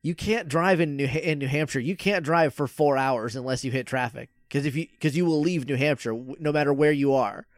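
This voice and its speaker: A podcast host in a relaxed group chat with a close, dry sound. The delivery is teasing and jokey, with laughter never far off. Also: mid-range voice, a little fast, few pauses, no room sound. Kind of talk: casual group chat, joking.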